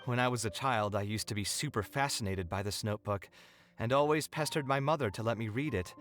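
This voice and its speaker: Casting: male actor as earnest young architect, expressive and a little wry, thoughtful storyteller, anime game, music underneath. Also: medium pitch, fairly quick, lightly acted. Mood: curious, wistful. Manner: articulate, thoughtful storytelling delivery. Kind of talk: anime-style video game voice acting.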